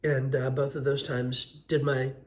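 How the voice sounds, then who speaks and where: A man in his fifties, hoarse from a cold, giving an earnest, unhurried vlog update with frequent ums and uhs. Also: mid-range voice, level tone. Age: 50s. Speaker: vlogger. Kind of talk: casual weight-loss update vlog.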